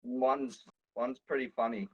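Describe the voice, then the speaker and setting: A man's voice: medium pitch, steady tone, a little slow, muffled recording, a little hiss or hum. A man on a casual podcast, joking and swearing, who also reads his poetry. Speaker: podcast guest reading own poem.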